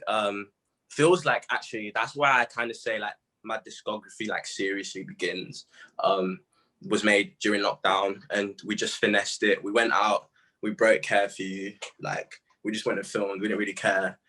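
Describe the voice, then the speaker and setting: A man's voice: lowish voice, flat delivery, normal speed, sharp tone. A young man from Zimbabwe, chatting casually and laughing often on a video call. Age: young adult. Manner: slangy, laid-back, laughs often. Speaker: musician guest. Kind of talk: casual interview chat.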